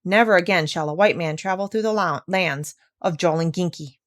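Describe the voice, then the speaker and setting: A woman's voice: fairly low pitch, normal speed, natural voice. A woman voicing a haughty, easily frightened African king in a storybook read-aloud, with a commanding, indignant manner. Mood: stern.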